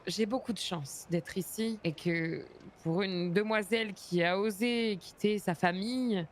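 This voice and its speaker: Woman doing a young female assistant, hesitant, lots of fillers, mid pitch. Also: a little slow, slight background noise, natural voice. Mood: earnest. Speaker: roleplay character. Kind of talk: in-character roleplay.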